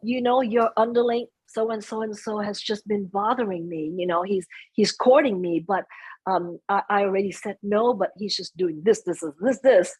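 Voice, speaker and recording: A soft-spoken middle-aged woman speaking as a guest in an interview, chatting about family, sometimes slipping into Vietnamese phrases. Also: medium pitch, moderate pace, husky, low-quality recording. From United States. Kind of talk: conversational interview.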